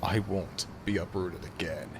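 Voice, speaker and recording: A man's voice: deep voice, steady tone, measured pace, vocal fry, background noise. A man playing a proud, coldly arrogant male alpha werewolf in an audio drama, with a formal, haughty, threatening manner. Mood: indignant. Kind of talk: dramatic audio roleplay acting.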